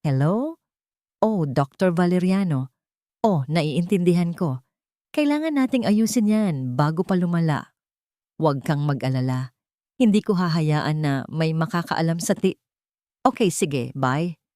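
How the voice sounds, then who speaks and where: A woman with a Filipino accent voicing an evasive rich wife in an audio drama, falsely casual and smoothing things over. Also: lowish voice, lively intonation, measured pace, long pauses, warm tone, dry recording, studio quality. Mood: secretive, placating.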